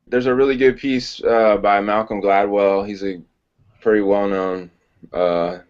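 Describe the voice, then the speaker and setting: A man hosts a podcast interview, asking questions at a measured, thoughtful pace with plenty of "uh." His voice is on the low side. The audio is dull and lo-fi, like a video call. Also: steady tone, a little mellow, clear, resonant tone. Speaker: podcast host. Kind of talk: casual interview.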